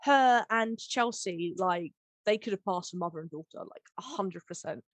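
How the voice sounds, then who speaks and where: A woman's voice: medium pitch, expressive, moderate pace, dry recording. A woman chats casually as a podcast host and audio editor. Her mid-range voice is recorded close and dry, and her manner is informal, giggly and effusive. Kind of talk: casual chat about making audio drama.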